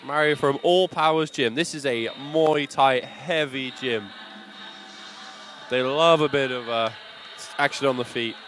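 A British man giving live MMA commentary, excitable, reacting in quick bursts of praise. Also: medium pitch, a little hiss or hum. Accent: British English.

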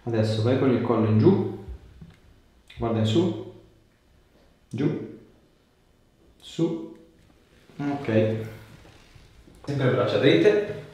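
A man, an osteopath giving brisk short directions and questions to a patient in a treatment room. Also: medium pitch, warm tone. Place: small treatment room, indoors. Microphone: camera a few metres away. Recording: roomy echo, distant mic, faint noise.

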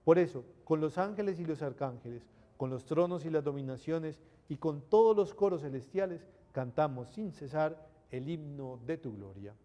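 A middle-aged man, a priest, reciting liturgical prayers in a measured, reverent, solemn way. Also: fairly high pitch, expressive, dark tone. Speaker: priest celebrating Mass. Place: indoor chapel at an altar. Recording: reverberant chapel sound.

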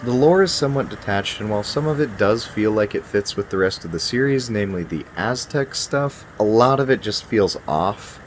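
A man's voice: lowish voice, animated, normal speed, hardly any pauses, a little hiss or hum. A man in his thirties giving a scripted video game review in a wry, casual, emphatic way. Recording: music behind the voice. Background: music.

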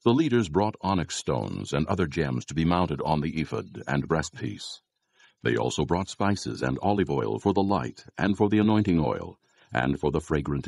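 A man narrating scripture as a scripted dramatized audio Bible, with a gravelly, husky voice and measured, solemn storytelling. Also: low voice, a little mellow, no echo.

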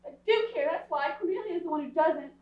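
An excitable, lovesick young society girl in an old radio comedy, played by a woman, very high and swooping in pitch. She is breathlessly insistent and melodramatic, heard from far off on an echoey stage. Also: fast. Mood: pleading, jealous. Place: indoor theatre stage, distant camera. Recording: distant, echoey, dull theatre stage recording.

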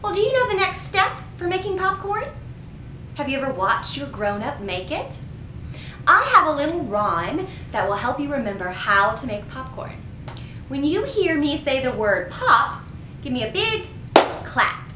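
A woman reads and teaches for young children as a storytime host. Her voice sits fairly high and swings widely in pitch. She takes her time, phrasing things in a deliberate sing-song. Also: sing-song, pushed and bright, slight background noise. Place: public library children's section.

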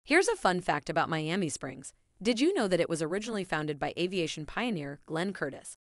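A woman's voice: on the low side, sing-song, fairly quick, no echo, studio quality. A woman reading a scripted promotional narration as an advertising presenter, with polished, evenly phrased delivery.

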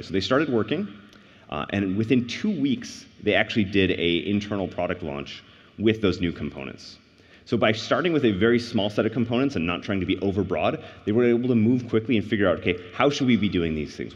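A man in his thirties giving a tech conference keynote on a large stage, speaking earnestly and explaining step by step. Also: deep voice. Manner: earnest, explanatory, rises on key points. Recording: dull livestream audio in a reverberant hall.

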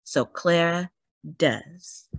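A woman reading a picture book aloud in a dramatic, emphatic storytelling manner with deliberate pauses. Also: on the low side, dry recording. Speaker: children's read-aloud story host. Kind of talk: expressive picture book narration.

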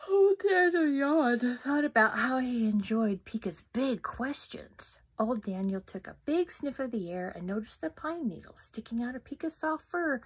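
A middle-aged woman reading her own children's book aloud at home, interactive, with soft, often whispered asides to young listeners. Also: fairly high pitch, husky. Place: home room, indoors. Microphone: phone camera close up.

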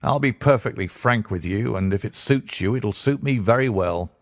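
Guy putting on a gruff bustling gentleman, abrupt and blunt, a bit lower than his usual voice, dry sound. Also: normal speed, no room sound, close to an everyday voice. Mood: businesslike. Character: brusque bustling gentleman investor. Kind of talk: audiobook character reading.

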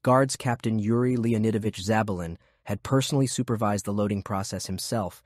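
A man with a husky voice reading scripted story narration for an audiobook. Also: on the low side, brisk, no room sound, studio quality.

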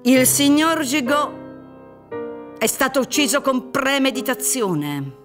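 An actress playing a relentless, insinuating public prosecutor in a radio drama, speaking with deliberate emphasis. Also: female voice, high voice, very animated, faint music behind, heavily acted. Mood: grave, dramatic. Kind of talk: theatrical radio drama acting. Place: dark theatre stage set for radio recording. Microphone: boom-mounted studio microphone, headphones.

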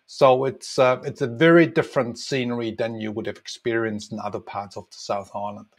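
A man's voice: mid-range voice, fairly quick. Middle-aged male travel guide, casual explainer with frequent fillers, home webcam recording. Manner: relaxed, many ums and uhs, explaining. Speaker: tour guide and travel designer. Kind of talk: casual map walkthrough narration.

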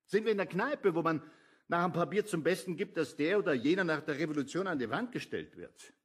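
An actor playing an earnest, conscientious intellectual man in a radio play, husky and argumentative. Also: a little high, expressive, brisk. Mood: agitated, arguing. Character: Jakob Ammon, earnest, conscientious left-wing intellectual man.

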